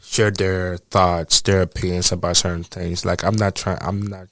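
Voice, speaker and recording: A young man in his twenties hosts a casual podcast in a deep voice with a gravelly edge. He rambles and circles back, leaning on "you know what I'm saying." The sound is dry and close. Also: level tone, moderate pace, dry recording. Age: 20s. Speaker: podcast host. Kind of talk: casual chat.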